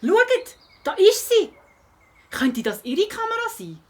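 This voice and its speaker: A woman playing a spirited, curious schoolgirl detective in a children's audio play, lively and inquisitive, with a Swiss German accent. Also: extremely high pitch, slow pace, full character voice. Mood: surprised, keen.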